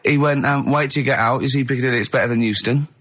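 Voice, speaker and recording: Male comic radio host, southern English accent, sharp forward voice, loose chatty style, muffled audio. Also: mid-range voice, rapid-fire, slightly rough. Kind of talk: casual comic radio chat. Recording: slightly muffled lo-fi radio recording.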